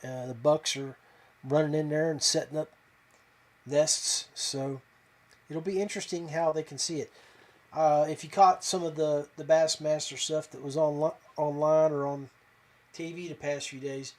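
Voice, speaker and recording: A man from West Virginia hosting a fishing podcast in a casual, folksy, halting way. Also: fairly high pitch, flat delivery. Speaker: podcast host.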